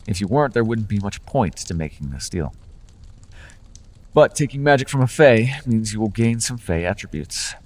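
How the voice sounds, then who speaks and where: A man's voice: fairly low pitch, long reverb tail. A man voicing a playful male fae patron in an ASMR fantasy roleplay, talking in a charming, teasing, conversational way with small restarts.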